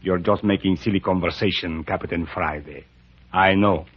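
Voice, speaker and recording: Smooth sinister high priest, mock-courteous and pompous, muffled vintage radio sound. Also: male voice, fairly even intonation, normal speed. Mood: cryptic, calm. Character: suave menacing Indian high priest, courteous and cryptic. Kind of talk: old-time radio drama acting.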